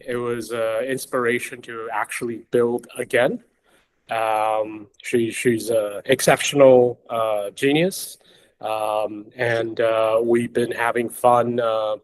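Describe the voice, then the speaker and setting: Slow, halting middle-aged man from Boston. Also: mid-range voice. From Boston, United States. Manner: halting, pausing often mid-sentence. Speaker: guest, company founder and investor. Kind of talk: casual introduction in an online interview.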